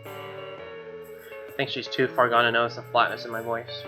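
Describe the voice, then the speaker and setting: A man's voice: medium pitch, animated, a little slow. A man with an American accent reading a visual novel aloud as a gaming host, with casual asides. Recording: game music behind.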